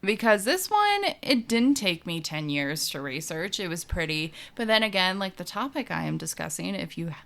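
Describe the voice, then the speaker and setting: A young woman co-hosting a casual podcast, soft-spoken, laughing often and trailing off mid-sentence. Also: on the low side, very animated, moderate pace, vocal fry, few pauses. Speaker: podcast co-host. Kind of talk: casual chat.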